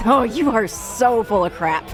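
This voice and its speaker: A woman's voice: fairly high pitch, expressive, unhurried, over music. An actress playing a scornful, foul-mouthed young metalhead woman in an audio drama, biting and sarcastic. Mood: disgusted.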